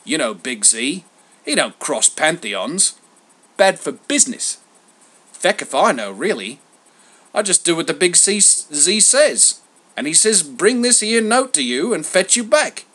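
A storybook trickster messenger god, young and cheeky, acted by a male narrator. He pitches his voice well up and proclaims loudly, with lively swings and slangy, brash confidence. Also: measured pace, exaggerated voice. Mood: brash, irreverent.

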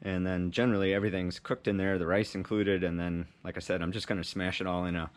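A man's voice: deep voice, level tone, fast. Chatty man vlogging from a bike. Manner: chatty, loose and self-mocking, talking to camera. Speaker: cycling vlogger. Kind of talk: casual vlog, cooking and riding. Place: home kitchen, then outdoors on a road bike. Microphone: action camera on the bike or held close. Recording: action-camera audio with music underneath.

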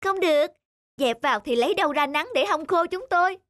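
A woman voicing a squabbling, indignant talking household object in an animated moral tale. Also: very high-pitched, brisk, heavy background noise. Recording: music behind the voice.

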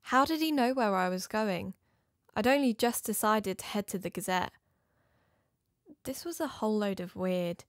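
Female investigator-narrator played by an actress: uneasy, confiding, scripted first-person drama, mid pitch, close dry recording. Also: expressive, normal speed, no echo, lightly acted. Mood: uneasy, reflective.

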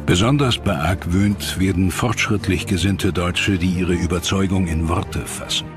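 A deep-voiced man narrates a historical documentary. His scripted delivery is formal and measured with a dramatic edge, and music runs clearly behind him. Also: fairly even intonation.

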